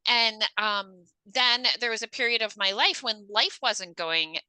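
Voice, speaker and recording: A woman's voice: on the high side, animated, a little slow, bright, forceful tone, clear, resonant tone, no room sound, low-quality recording. A woman hosting a podcast, telling personal stories in a rambling, self-aware way during a casual interview. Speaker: podcast host.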